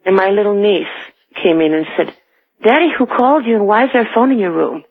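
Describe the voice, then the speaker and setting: A woman's voice: medium pitch, lively intonation, a little fast, slight background noise. A woman phoning a radio show and telling a personal story in a conversational way. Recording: tinny, narrow telephone line.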